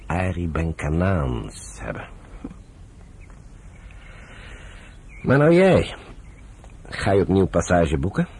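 A very deep-voiced British general in a radio drama, sober and devout. His delivery is earnest and measured, yet swings widely in pitch. Faint birdsong and noise sit behind him. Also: darkish tone, full character voice. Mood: wry, hopeful. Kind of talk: Dutch radio drama acting.

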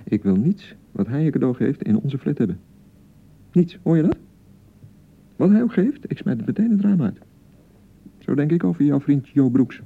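A man acting an excitable young newlywed husband in a radio drama, by turns blustering and awkwardly pleading. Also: fairly high pitch, normal speed, vocal fry, long pauses, slightly rough, phone-quality sound, heavily acted. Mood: jealous, indignant. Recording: thin, band-limited 1990 radio broadcast.

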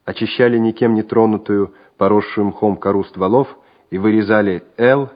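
A man narrating a wartime radio drama in a measured, solemn, scripted way. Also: fairly low pitch, steady tone, close to an everyday voice. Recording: dull old radio recording with music.

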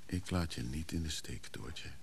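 An actor playing a weary, protective man who is somewhat overwhelmed, in naturalistic radio drama dialogue, with a gravelly voice. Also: rumbling low, unhurried, slight background noise, heavily acted. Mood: soothing, concerned.

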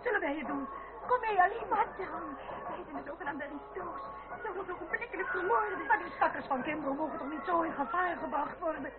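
A woman's voice: very high-pitched, a little fast. An actress playing an anxious aristocratic household woman in a period radio drama, fretful and exclamatory. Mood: alarmed. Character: anxious aristocratic madame and her frightened young female companion. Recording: old narrow-band radio play, noisy crowd behind.

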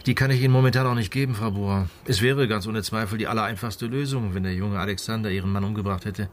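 A man playing a calm, methodical police inspector in a radio crime drama, speaking in a measured, matter-of-fact way. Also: lowish voice, slight background noise, close to an everyday voice. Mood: thoughtful, measured. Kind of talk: naturalistic German radio drama dialogue.

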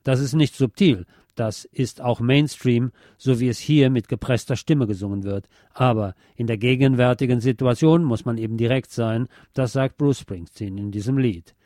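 A man's voice: medium pitch, moderate pace, dark tone, dry recording, clean studio sound. A man reading a scripted political and music commentary as a presenter.

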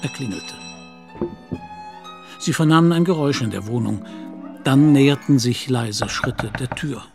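A man with a mid-pitched voice narrates a radio drama. It is scripted literary storytelling, with music clearly playing behind him. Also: a little slow.

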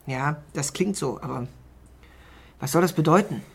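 An actor playing an eager, puzzled junior detective in a German radio crime drama, husky and haltingly thinking aloud. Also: male voice, on the high side.

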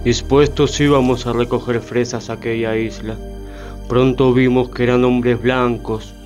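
Actor as solemn, even-toned native storyteller. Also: male voice, medium pitch, measured pace, lightly acted. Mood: grave, regretful. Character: solemn, wronged Delaware native man remembering the settlers. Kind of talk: radio theatre monologue. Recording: slightly muffled, faint music behind.

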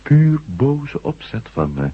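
An actor playing a wry, teasing reporter and former astronaut in a conversational radio drama. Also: male voice, fairly high pitch, big pitch swings, slow, husky, exaggerated voice. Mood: wry, scheming. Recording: dull vintage 1970s radio recording.

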